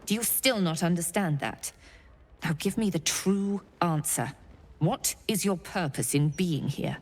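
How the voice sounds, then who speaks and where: Older cult priestess, cold, haughty, menacing, deliberate, dramatic fantasy game villain. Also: female voice, lowish voice. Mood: cold, probing. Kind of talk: dramatic video game acting.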